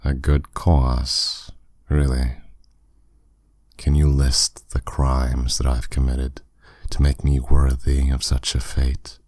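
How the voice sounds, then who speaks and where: Demon lord played by a man, extremely low voice, dry close sound. Smug, commanding, teasing; intimate audio roleplay. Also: a little mellow, no echo, full character voice. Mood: smug, reasoning. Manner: commanding, condescending, unhurried, teasing. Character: dominant, suave male demon lord, smugly teasing. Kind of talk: roleplay audio drama, addressed intimately to listener.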